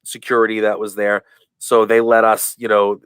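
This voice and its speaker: A man being interviewed on a podcast, telling stories in a rambling way, full of fillers and restarts, and acting out quoted lines with lively voices. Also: fairly low pitch, normal speed, clean tone, dry recording. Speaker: guest, professional wrestler. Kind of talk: casual storytelling in a podcast interview.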